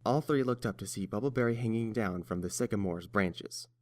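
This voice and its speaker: Low-pitched male story narrator. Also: fairly quick.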